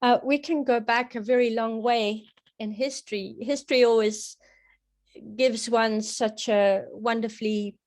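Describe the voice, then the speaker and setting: Female interview guest, slightly high voice, unhurried and deliberate, earnest commentary with pauses. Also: fairly even intonation, a little mellow. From South Africa. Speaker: interview guest, political analyst and website creator. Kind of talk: interview answers, measured commentary.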